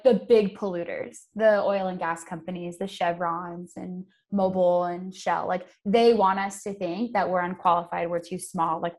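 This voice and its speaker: Earnest woman explaining with emphasis. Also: fairly low pitch. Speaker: guest, environmental advocate. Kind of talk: casual podcast conversation.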